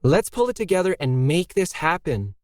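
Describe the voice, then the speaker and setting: A man voicing a brisk, bossy woman in her fifties who chides and snaps impatiently in an audiobook story. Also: fairly high pitch, very animated, dry recording, clean studio sound, pitched above the performer's own voice. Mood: chiding. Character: brisk, scolding fifty-something woman, launch facility owner.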